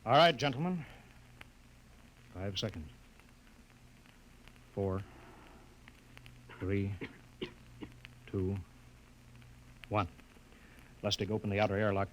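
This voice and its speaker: An actor playing a stern naval spaceship captain in a 1950s radio drama, clipped and authoritative. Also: male voice, lowish voice, slow pace, pauses often. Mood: tense, commanding. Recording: noisy 1950s radio recording.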